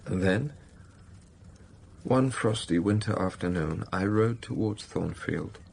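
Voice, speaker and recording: An actor playing a brooding, passionate middle-aged master of a country estate in a period radio drama, gravelly and intense. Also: male voice, lowish voice, slow pace, darkish tone, heavily acted. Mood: brooding, regretful. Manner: intense, confessional, pleading.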